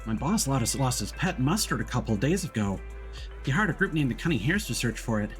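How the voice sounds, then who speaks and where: A man's voice: mid-range voice, sing-song, a little fast, soft background music, pitched above the performer's own voice, close to an everyday voice. A man voicing a grumbling, gossipy underpaid employee in a video game, reading the dialogue aloud.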